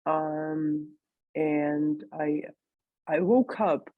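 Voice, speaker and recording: A woman from Ukraine with a Russian accent, a guest in a conversational interview, thoughtful and pausing to search for words. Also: low voice, breathy edge, dry recording, narrow, tinny audio.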